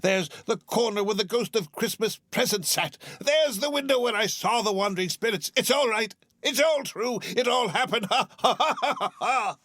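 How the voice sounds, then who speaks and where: A man's voice: sits high, lively intonation, normal speed, a bit bright, dry recording, clean studio sound, pitched above the performer's own voice. A man voicing an overjoyed old miser who stammers and laughs between his words. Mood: giddy. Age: older. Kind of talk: audiobook character reading.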